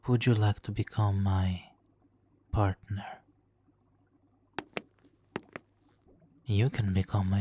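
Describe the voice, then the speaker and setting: A man playing a smug, seductive supervillain hypnotist in an ASMR roleplay, speaking softly and theatrically with lapses into whispers. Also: lowish voice, slightly rough, exaggerated voice. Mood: seductive, persuasive.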